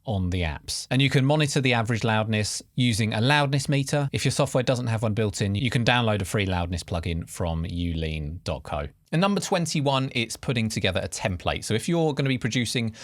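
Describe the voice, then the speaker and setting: A man's voice: on the low side, lively intonation, fairly quick, few pauses, no room sound, clean studio sound. A man presenting scripted tutorial narration in an informal, explanatory way, giving stress to key headings. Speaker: presenter of podcast production tips.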